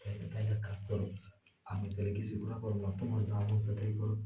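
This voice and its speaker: A man's voice: lowish voice, monotone, fast talker, dull audio, close to an everyday voice. A man acting a timid, nervous, inexperienced young brother-in-law in a short film, stammering and hesitant, with a Bangladeshi Bengali accent. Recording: very echoey room, distant mic, faint noise.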